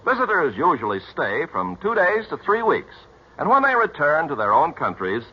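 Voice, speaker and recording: A man's voice: fairly high pitch, wildly swooping pitch, moderate pace, slightly rough. A man with an American accent reading a scripted announcement as a 1940s radio announcer. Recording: muffled 1940s radio recording.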